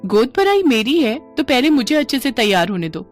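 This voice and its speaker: A woman's voice: sits high, big pitch swings, brisk, faint music behind, pitched above the performer's own voice. A woman voicing a put-upon, bossy pregnant elder sister-in-law in a cartoon family story, with a reproachful manner. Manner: scolding, reproachful. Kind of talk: animated Hindi family story dialogue.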